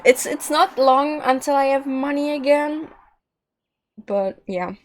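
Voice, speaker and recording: A woman's voice: high-pitched, slow, a little hiss or hum. A woman streaming a game, chatting casually and informally in a rambling way. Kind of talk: casual livestream chat. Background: game music.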